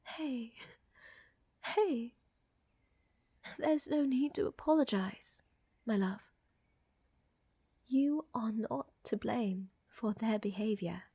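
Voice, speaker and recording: A woman playing a flirtatious, doting aristocratic vampire countess in an intimate ASMR audio roleplay, with a soft, breathy murmur and elegant, teasing, soothing phrasing. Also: fairly high pitch, slow pace, long pauses, no room sound, exaggerated voice. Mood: protective, reassuring.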